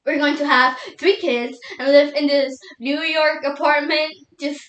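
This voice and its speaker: A woman performs a young widow lost in grief and doubt. Her voice is high and emotional, with halting, pleading delivery. It is a solo dramatic monologue, heard in a reverberant room. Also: flat delivery, fairly bright tone, lo-fi sound. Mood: wistful reminiscing. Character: grieving young widow, tearful and doubting God. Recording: echoey room.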